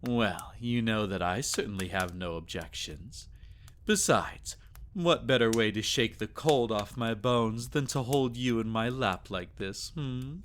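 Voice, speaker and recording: A man playing a teasing, doting vampire lord in an intimate ASMR romance roleplay, with soft chuckles and sighs. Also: on the low side, a little slow, slight background noise. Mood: teasing, flirtatious.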